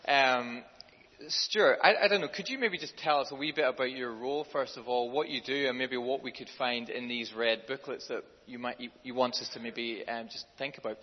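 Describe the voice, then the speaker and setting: Male service host, halting and prayerful, muffled sound in an echoing hall. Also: medium pitch. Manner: warm-hearted, hesitant, repeats words. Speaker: church leader and service host. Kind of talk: introducing a guest and praying. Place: church hall. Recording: dull distant hall recording.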